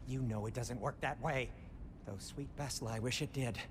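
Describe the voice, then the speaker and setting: A man's voice: mid-range voice, moderate pace, close to an everyday voice. A man playing an anxious, cautious companion in a video game, fussy and precise, with a dry sarcastic way of objecting. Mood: dry, reluctant. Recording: clear background ambient noise.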